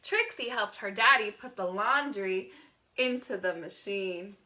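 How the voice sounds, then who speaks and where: A woman reading a picture book aloud to young children in an expressive teacher's manner, drawing words out. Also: medium pitch, a little slow, poor audio. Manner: expressive, asks the listener questions, gasps, draws words out.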